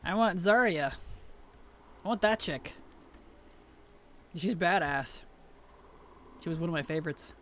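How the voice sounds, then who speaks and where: A woman game streamer chatting casually and playfully on a livestream, her tired voice starting to weaken and turn gravelly. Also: fairly low pitch, lively intonation, unhurried, pauses often, slight background noise. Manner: playful, teasing, chuckles often. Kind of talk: casual livestream chat over gameplay.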